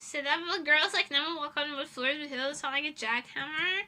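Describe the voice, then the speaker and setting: A woman's voice: high voice, fairly quick, sharp tone. A young woman livestreamer chatting casually in an informal, self-deprecating, rambling way.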